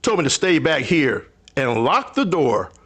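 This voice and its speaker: Man acting an animated, folksy old storekeeper. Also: fairly high pitch, a little slow, full character voice. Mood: grumbling. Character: folksy, good-natured old country storekeeper and husband. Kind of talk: vintage radio drama acting.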